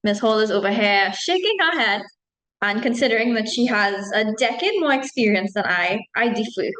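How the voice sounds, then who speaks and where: A woman's voice: fairly high pitch, lively intonation, normal speed, a bit bright. A young woman from Trinidad, a medical student, answering questions at an open-day panel in a chatty way with many ums. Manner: chatty, frequent ums, self-deprecating asides. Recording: echoey room.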